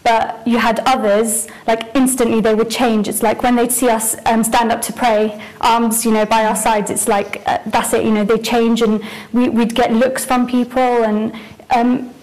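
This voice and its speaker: Young woman, higher voice, thoughtful. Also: flat delivery, moderate pace, few pauses, darkish tone, rough recording. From Iraq. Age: young adult. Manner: thoughtful, hesitant with fillers. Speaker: interviewee. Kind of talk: casual recollection. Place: dark studio.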